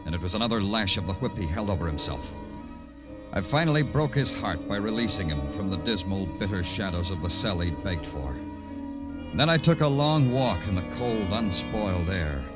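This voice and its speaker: A world-weary police detective from old radio crime drama. His voice sits low, brooding and hard-boiled, with clipped, terse phrasing. Music plays clearly in the old broadcast. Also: lively intonation, normal speed. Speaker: actor.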